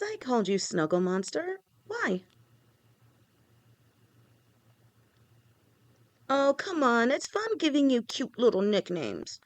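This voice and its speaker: A woman's voice: fairly high pitch, a bit bright, dry recording. A woman playing a doting, playful lamia girlfriend. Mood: sweet, teasing. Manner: affectionate, teasing, pet names; stammers and rambles when nervous. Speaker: voice actor. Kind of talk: listener-directed audio roleplay.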